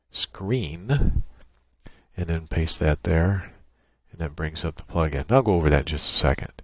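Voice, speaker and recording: A man presenting an unscripted screen-share software tutorial in a halting, thinking-aloud way. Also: low voice, no room sound.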